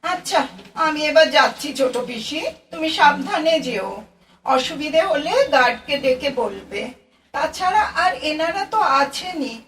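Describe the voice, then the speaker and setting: A woman playing a young niece in an audio play, teasing and solicitous, full of exclamations and gentle bossing. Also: sits high, expressive, measured pace, recorded from a distance, a little hiss or hum. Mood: caring. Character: caring, teasing young niece seeing her aunt off. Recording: roomy, echoing, away from mic.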